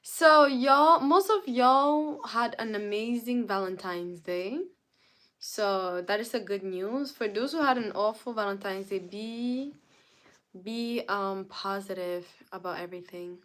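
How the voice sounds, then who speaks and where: Slow-talking woman chatting with stream viewers. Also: medium pitch, a bit bright. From Canada. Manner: greets viewers by name and answers chat comments. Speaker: livestreamer. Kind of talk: casual live-stream chat with viewers.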